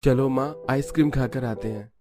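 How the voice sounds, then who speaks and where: A man's voice: medium pitch, steady tone, normal speed, warm tone, natural voice. A man voicing a reasonable young grown son in a family cartoon, polite and pleading as he keeps the peace. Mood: coaxing. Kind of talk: Hindi cartoon story dialogue.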